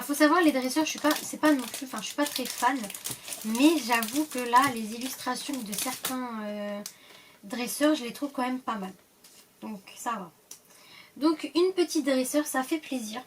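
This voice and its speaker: A woman's voice: sits high, normal speed, distant mic, noisy background. A woman with a cold, casually opening card packs and reading the card names aloud, often hesitating and trailing off. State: stuffy from a cold. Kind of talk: casual pack-opening commentary.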